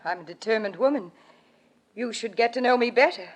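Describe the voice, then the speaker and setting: A woman's voice: on the high side, fairly quick, close to an everyday voice. Cool, seductive radio femme fatale played by a woman. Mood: seductive menace. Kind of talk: 1940s radio mystery acting. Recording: vintage 1949 radio recording.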